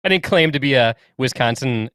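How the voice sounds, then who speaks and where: A man's voice: medium pitch, lively intonation, normal speed, a bit bright, no echo. A man co-hosting a podcast in easygoing chat, laughing often as he goes. His voice sits in a middle range. It is recorded dry and close. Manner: chatty, laughs easily. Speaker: podcast co-host.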